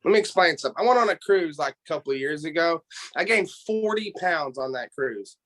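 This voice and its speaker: A man's voice: fairly high pitch, lively intonation, brisk, fairly bright tone. A man in a casual chat who teases the others and laughs often.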